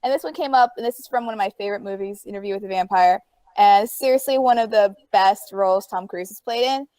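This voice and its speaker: A woman's voice: medium pitch, fast, dark tone, no echo. A woman talking casually as a panelist about her favourite films and quotes, informal and full of fan enthusiasm. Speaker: panelist in a group chat.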